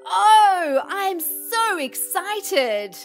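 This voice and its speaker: A woman voicing a vain, excitable young stepsister in a children's fairy tale cartoon, with a gushing manner. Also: extremely high pitch, drawn-out words, faint music behind, full character voice. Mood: excited, gushing.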